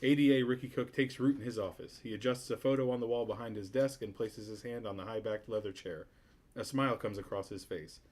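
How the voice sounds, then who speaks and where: A man's voice: medium pitch, darkish tone. Man reading screenplay stage directions. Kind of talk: scripted narration.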